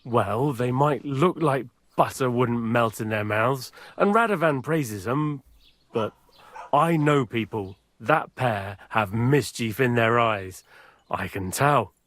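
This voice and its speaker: An actor playing a chatty, aggrieved middle-aged medieval village bailiff in a video game. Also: male voice, medium pitch, expressive, takes it slowly, a bit bright, no echo. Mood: grudging, suspicious. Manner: garrulous, grumbling.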